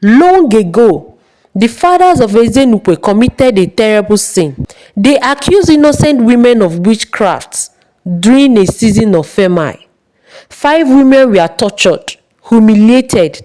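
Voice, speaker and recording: A woman narrating a dramatic folktale in a solemn, suspenseful and measured way. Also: on the high side, sing-song, warm tone, poor audio. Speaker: storyteller.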